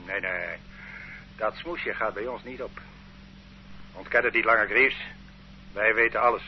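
A cold, bullying interrogator. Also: male voice, on the low side, unhurried, slight background noise. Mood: menacing. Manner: curt, bullying, accusing. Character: stern male interrogator, cold and accusing. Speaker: interrogating official. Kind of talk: radio drama acting. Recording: dull lo-fi radio play recording.

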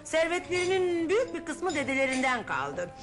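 A woman playing a chatty, fond aunt in a radio play, lively and talkative as she reminisces. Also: high-pitched, normal speed. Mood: reminiscing. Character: chatty middle-aged aunt, gossipy, fond. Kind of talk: 1970s Turkish radio drama acting. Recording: old radio broadcast with music.

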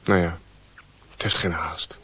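An actor playing a wry, wary adventurer in a radio drama, with a husky voice. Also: male voice, sits low, fairly even intonation, a little slow, bright, forceful tone, dry recording, heavily acted. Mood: musing.

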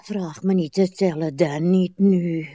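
An actress playing a weary, anxious old wife, plaintive and halting, in a radio play. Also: female voice, lowish voice, steady tone, mellow, no echo. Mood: pleading. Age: older.

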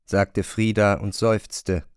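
A man's voice: on the low side, flat delivery, slow pace, clear, resonant tone, no room sound, clean studio sound. A man reading scripted literary narration in a radio drama.

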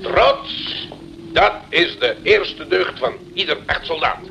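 An actor playing a pompous old war veteran in a radio play, with military turns of phrase. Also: male voice, fairly high pitch, lively intonation, slow pace, gravelly, pushed and bright, exaggerated voice. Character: pompous old war veteran general, rallying his comrades. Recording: faintly noisy old radio recording.

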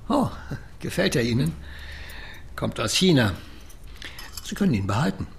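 A jumpy older professor with a gravelly edge to his voice. His pitch leaps and dips widely as he protests, then lowers into a secretive tone when he confides. The voice comes from a radio drama. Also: moderate pace, slight background noise. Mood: uneasy. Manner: indignant, excitable, then confiding. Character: nervous, self-pitying older male professor. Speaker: brain researcher.

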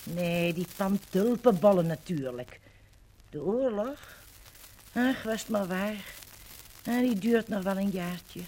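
An actress playing a practical, sceptical older Dutch housewife who chides in a matter-of-fact way, in 1950s radio drama style. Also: female voice, mid-range voice, slow, dark tone. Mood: dry, resigned. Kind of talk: 1950s radio docudrama acting. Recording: old 1950 radio recording, crackly hiss.

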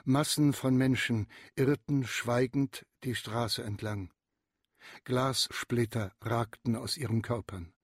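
A man acting as a disaster survivor who narrates gravely in a radio drama, with a husky voice. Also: medium pitch, fairly even intonation, dry recording, clean studio sound. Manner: slow, grave delivery. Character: male disaster survivor recounting horrors, grave.

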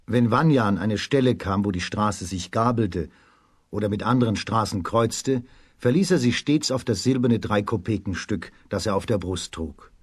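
A man narrating a children's radio play as a storyteller, in a measured storybook delivery. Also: on the low side, slightly rough, no echo, studio quality.

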